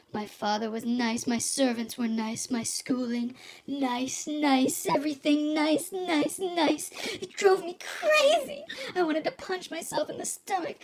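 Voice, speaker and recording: A woman playing a young, pleading femme fatale in a noir radio drama, theatrical and tearful, with swooping breathy delivery. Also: extremely high pitch, background noise, full character voice. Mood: bitter, exasperated. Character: melodramatic young femme fatale, sheltered rich girl turned scheming, pleading lover.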